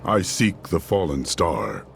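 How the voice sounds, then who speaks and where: Gravelly, grim barbarian warrior. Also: male voice, very animated, faint music behind, exaggerated voice. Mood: stern. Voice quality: deep gravelly voice. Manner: slow, solemn, heavy delivery. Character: grim male barbarian warrior, outcast, stern. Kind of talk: video game cutscene voice acting.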